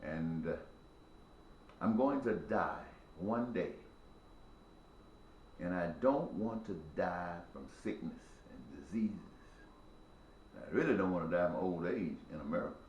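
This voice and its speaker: Man in his sixties, low-pitched, preachy and emphatic, echoey room with faint hum. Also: expressive, a little slow, pauses often, darkish tone, dull audio. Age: 60s. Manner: preachy, repetitive, emphatic cadence. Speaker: speaker addressing viewers. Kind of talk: impassioned spoken monologue.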